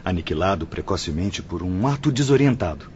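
An actor playing a compassionate, assured male spirit surgeon in a radio drama, solemn and deliberate, with a Brazilian accent. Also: sits low, expressive, darkish tone, a little hiss or hum. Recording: dull lo-fi radio drama, music behind.

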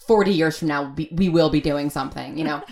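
Woman, low pitch, thirties, casual interview chat, effusive, rambling, repeats for emphasis. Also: fast talker, creaky, darkish tone, slight background noise. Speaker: guest, audio fiction creator.

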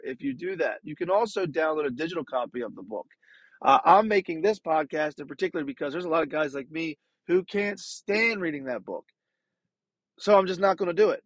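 A man's voice: fairly high pitch, no echo. A man in his late twenties hosting a casual outdoors podcast, sounding nasal from being sick, rambling with lots of fillers and restarts. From Maryland. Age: late 20s. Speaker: podcast host.

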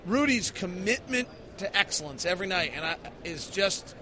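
A man's voice: high voice, animated, moderate pace, background noise. An American man hosting a basketball post-game podcast, giving emphatic analysis and stressing the key figures. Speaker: sports podcast host.

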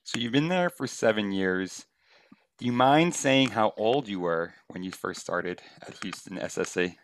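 A man's voice: fairly low pitch, lively intonation, measured pace, slight background noise. A man in his thirties, a podcast host and league founder, asking questions in a curious, casual way. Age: 30s. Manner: curious, laughs along with guest.

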